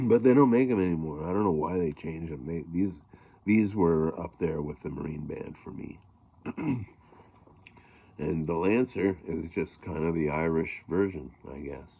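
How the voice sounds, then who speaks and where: A middle-aged man with a gravelly voice, talking casually about harmonicas in a rambling way, with lots of fillers and throat clearing. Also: sits low, unhurried, narrow, tinny audio. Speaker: blues harmonica player. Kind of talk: casual talk to camera.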